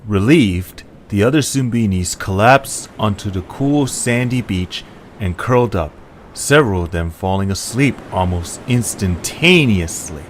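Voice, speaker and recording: A man's voice: fairly low pitch, expressive, measured pace, slight background noise. A man reading scripted story narration as the narrator of an audio drama.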